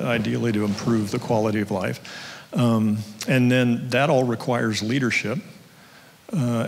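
A middle-aged man speaking reflectively on a panel, often pausing and restarting. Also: lowish voice, fairly bright tone. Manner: reflective, halting lists. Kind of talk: panel discussion. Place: church stage. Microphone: handheld mic. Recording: long hall reverb.

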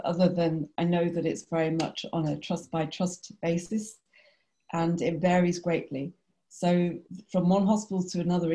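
A British woman, a doctor on a panel, explaining in a measured way with many fillers and restarts over a video call. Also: fairly low pitch, warm tone, low-quality recording. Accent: British English. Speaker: GP and charity panelist. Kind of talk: webinar panel discussion.

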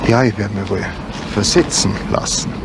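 A man's voice: fairly low pitch, moderate pace, natural voice. An actor playing a talkative, rueful man confiding in a friend in a 1970s radio play. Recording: noisy old radio play recording.

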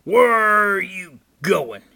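A furious troll from a children's storybook. He bellows his threats slowly and menacingly, stretching the words out, with big swings in pitch. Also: big pitch swings, gravelly, exaggerated voice. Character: angry bridge troll, shouting and menacing.

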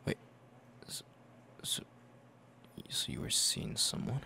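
A man acting a flustered, jealous young best friend in an intimate roleplay, with halting, stammering speech and sighs. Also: pushed and bright, whispered. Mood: jealous, unsettled. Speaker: roleplay audio performer.

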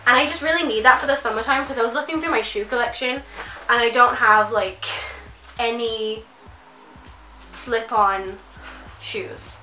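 A woman's voice: a little high, moderate pace, long pauses, bright, forceful tone, echoey room, recorded from a distance, soft background music, low-quality recording. A young woman chatting to camera about a clothing haul, nasal and congested from a cold, gushing and full of "like". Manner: chatty, gushing, many likes. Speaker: fashion vlogger. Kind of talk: casual haul chat to camera. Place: small bedroom, indoors.

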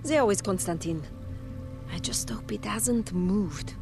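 A woman's voice: medium pitch, expressive, unhurried, gravelly. A haughty French cultist in a video game, speaks with serene, mystical grandeur and an aloof air. Her lines sit over prominent music. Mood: aloof, cryptic. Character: haughty, serene female cultist, a talking severed head. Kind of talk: video game character acting.

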